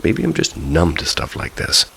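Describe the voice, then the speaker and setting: A man's voice: deep voice, moderate pace, gravelly, a little hiss or hum. A man narrating first-person ghost stories from a script, in the style of a bedtime listen. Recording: steady rain ambience behind the voice. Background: rain falling.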